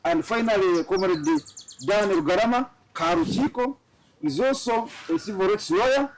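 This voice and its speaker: A man from Tanzania hosting a political talk show, animated and quick to laugh. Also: sits high, slight background noise, poor audio. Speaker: show host.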